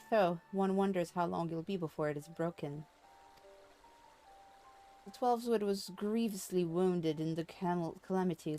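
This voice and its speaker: A woman reading game dialogue aloud as a formal, grave storyteller with measured delivery. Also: lowish voice, darkish tone, natural voice. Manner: measured, formal, archaic wording.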